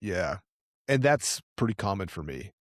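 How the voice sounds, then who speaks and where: Lower-voiced, slightly nasal man rambling. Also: sing-song, normal speed, no echo, clean studio sound. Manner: rambling storyteller, filler-heavy. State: a bit nasal after illness. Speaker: podcast co-host. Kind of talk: casual podcast chat.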